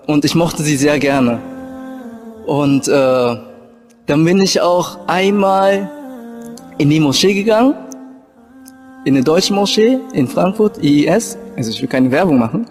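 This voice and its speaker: A young man from Japan speaks in a slightly high-pitched voice over background music. He tells his personal story in an informal way, pausing and restarting as he searches for his words. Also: darkish tone. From Japan and Germany. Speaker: convert telling his story.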